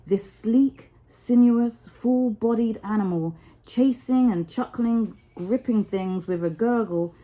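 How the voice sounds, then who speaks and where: A woman storyteller reading a children's story expressively, with deliberate, dramatic phrasing. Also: a little high, warm tone, rough recording.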